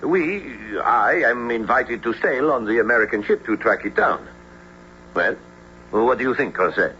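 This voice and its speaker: An excitable French scholar in a radio drama. His voice is bright and animated, courteous and given to musing aloud. The recording is muffled, with clear background noise. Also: medium pitch, normal speed. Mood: excited. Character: curious, excitable French marine biology professor. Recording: dull, noisy vintage-sounding radio drama.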